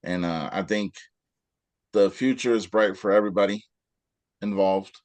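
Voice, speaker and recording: A man's voice: lowish voice, steady tone, moderate pace, darkish tone, no room sound. Rambling male podcast host. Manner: rambling, many fillers. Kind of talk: casual interview.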